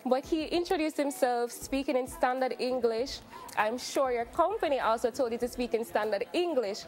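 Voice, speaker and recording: A woman with a Jamaican accent, a studio guest giving measured customer-service advice. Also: high voice. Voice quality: measured, advising.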